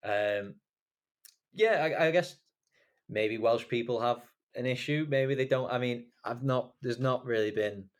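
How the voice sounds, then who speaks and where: A man's voice: mid-range voice, unhurried. A young man from England hosting a podcast, chatting casually and rambling with lots of fillers and restarts. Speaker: podcast host. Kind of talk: casual chat.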